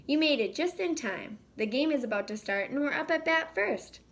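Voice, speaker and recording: Middle-aged cartoon father performed by a woman, upbeat, supportive, hearty, higher-pitched storybook voice. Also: animated, normal speed, gravelly. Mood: cheerful. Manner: hearty, calling out. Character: cheerful, encouraging middle-aged cartoon sponge dad.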